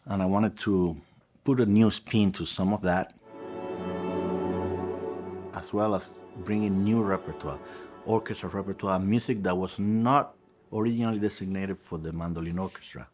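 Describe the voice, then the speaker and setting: Deep-voiced man. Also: fairly even intonation, normal speed, pauses often, over music. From Cuba. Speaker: music director and guitar professor. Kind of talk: interview answers.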